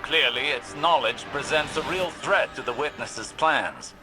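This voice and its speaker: A man voicing a calm, commanding male mentor guiding a mission in a video game, over game music. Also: mid-range voice, measured pace, close to an everyday voice, low-quality recording. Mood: stern. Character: stern wise male mentor and mission overseer.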